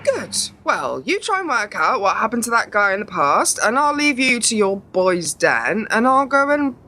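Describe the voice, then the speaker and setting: Woman acting a sarcastic, high-pitched teenage granddaughter. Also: very animated, moderate pace, pushed and bright. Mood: sardonic. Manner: sardonic, dismissive. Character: sarcastic, sceptical, bored teenage granddaughter about to leave for university. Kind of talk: radio play acting.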